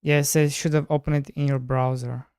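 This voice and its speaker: A man's voice: a little high, little pitch movement, a little fast, darkish tone, clean tone, no echo, clean studio sound. A man who develops indie games, chatting casually with a friend on a live stream in an easygoing way. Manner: easygoing, says "bro".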